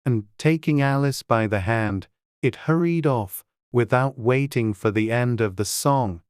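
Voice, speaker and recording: A man's voice: mid-range voice, a little slow, smooth, no room sound. Dry, close male storybook narrator. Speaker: audiobook narrator.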